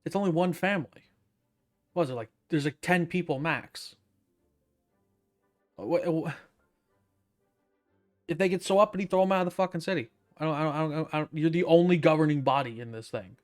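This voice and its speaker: A man's voice: on the high side, brisk, long pauses, soft background music. A man giving casual commentary as he streams a game, with a wry, sardonic manner.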